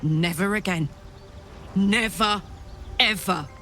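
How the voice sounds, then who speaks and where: A woman playing a hot-blooded, brash but warm-hearted warrior in a video game, blunt and colloquial, with an English accent. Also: mid-range voice, slow pace, faint music behind. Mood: stern, troubled. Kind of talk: video game character acting.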